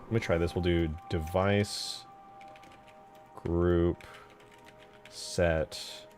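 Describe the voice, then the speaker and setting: A man with a low voice talks through his work on a casual modding livestream. He is informal and understated, often muttering to himself as he goes. Music plays clearly behind his voice. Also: fairly even intonation, drawn-out words, darkish tone. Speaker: game modder streaming his work. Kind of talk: casual livestream commentary, thinking aloud.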